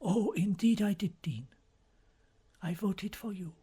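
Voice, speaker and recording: A man voicing a gentle, devout cardinal who speaks in a polite, formal, soft-spoken manner. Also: high-pitched, slow pace, husky, pitched above the performer's own voice, exaggerated voice. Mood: respectful.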